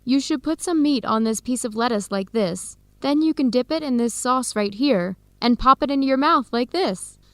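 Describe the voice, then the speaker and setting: Radio drama role: a friendly woman on a date, high voice, encouraging and instructive, dry close sound. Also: expressive, moderate pace, few pauses, a bit bright, dry recording. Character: friendly woman on a date, guiding her companion.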